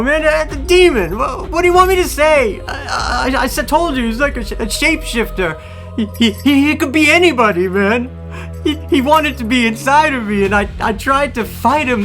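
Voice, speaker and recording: A high-voiced, drug-addled killer in a horror radio play. He stammers, pleads and repeats himself in a frantic state. Music runs under his voice. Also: a bit bright, heavily acted. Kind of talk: audio horror drama acting.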